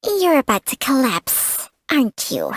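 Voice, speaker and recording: A woman voicing a small, blunt snake companion in a video game, with a cute childlike voice and slow delivery. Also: sits high, huge pitch swings, no echo, studio quality, exaggerated voice. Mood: teasing. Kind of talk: video game character voice lines.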